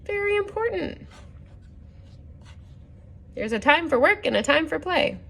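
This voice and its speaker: A woman hosting a children's story time, reading a picture book aloud with gently instructive asides and questions to the listeners. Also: high voice, sing-song, a little slow, gravelly.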